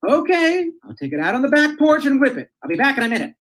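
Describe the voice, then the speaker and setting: Folksy, cocksure husband, played by a woman, high and very animated, radio-comedy style, noisy recording. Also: fast talker. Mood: genial, folksy. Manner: folksy, cocksure, tall-tale telling. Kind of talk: old-time radio comedy acting.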